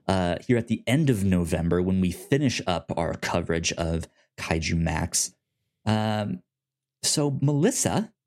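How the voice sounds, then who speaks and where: A man co-hosting a podcast, talking in loose, rambling chat. His voice rises and falls a lot, and he often stumbles and restarts his sentences as he thinks aloud. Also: fairly low pitch. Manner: rambling, many restarts and stammers, animated. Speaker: podcast co-host. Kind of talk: casual chat.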